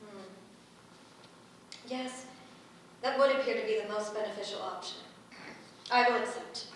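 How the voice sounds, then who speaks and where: A woman's voice: medium pitch, steady tone, unhurried, close to an everyday voice. A woman playing an analytical, literal-minded water elemental in a staged play reading, with clipped, formal phrasing. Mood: analytical, clinical. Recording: echoey room from far off, faint noise.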